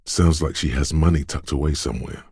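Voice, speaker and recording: Detective's inner instinct, video game narration, rumbling low male voice, measured, knowing, quick-witted, dramatic, dry studio sound. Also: darkish tone, breathy edge, no echo, heavily acted. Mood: observant, knowing. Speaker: voice actor. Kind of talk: second-person game narration.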